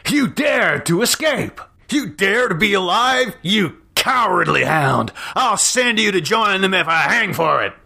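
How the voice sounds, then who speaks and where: A man's voice: high-pitched, expressive, unhurried, creaky, sharp tone, pitched above the performer's own voice, heavily acted. A man voicing a fiery, hot-headed frontiersman of the Old West, blustering and agitated, in a storybook reading. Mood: furious.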